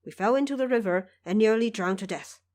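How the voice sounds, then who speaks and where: A woman's voice: medium pitch, a little fast, darkish tone, natural voice. A woman voicing a shaken, imperious male emperor in an audio drama. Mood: shaken, aggrieved. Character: drowning, panicked emperor, imperial and dazed, man.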